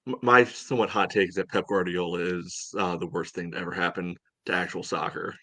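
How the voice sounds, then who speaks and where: A man co-hosting a sports podcast, giving his opinions in a casual, halting way. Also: sits low, steady tone, a bit bright. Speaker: podcast co-host.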